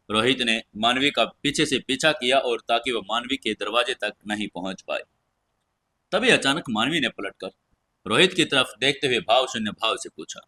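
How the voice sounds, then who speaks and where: A man's voice: medium pitch, pushed and bright. A man narrating a scripted audio story in a dramatic storytelling style.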